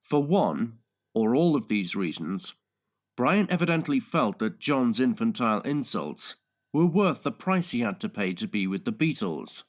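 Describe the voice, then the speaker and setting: Measured male audiobook narrator, unhurried. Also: mid-range voice, big pitch swings. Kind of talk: scripted dramatic and factual narration.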